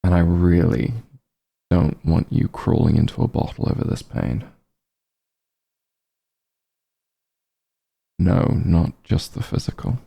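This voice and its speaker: A man playing a gentle, concerned doctor who is an old friend, speaking slowly and soothingly in a soft ASMR roleplay, with a low, husky voice. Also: heavily acted. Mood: firm, caring. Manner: slow, soothing, reassuring.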